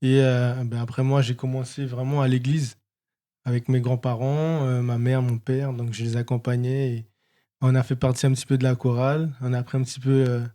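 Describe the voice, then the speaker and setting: A male guitarist from French Guiana, telling his story calmly and without hurry in a radio studio chat. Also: medium pitch, brisk. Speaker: guitarist guest. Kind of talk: casual interview chat.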